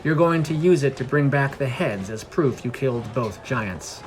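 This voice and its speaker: A man's voice: mid-range voice, animated, normal speed, a little hiss or hum, lightly acted. A man voicing a serious, businesslike guard captain of about forty in a fantasy story, blunt and matter-of-fact. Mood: explaining, dry.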